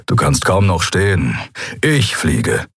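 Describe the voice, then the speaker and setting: A man voicing a gruff, stubborn, protective bodyguard in an audiobook, curt and growling. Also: deep voice, sing-song, slow pace, a bit bright, dry recording, studio quality, exaggerated voice.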